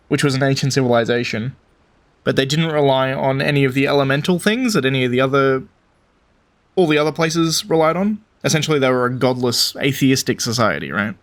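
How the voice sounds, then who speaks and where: A male game streamer with a mid-pitched voice gives casual commentary. He muses and thinks aloud in an informal, conversational way. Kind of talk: casual let's play commentary.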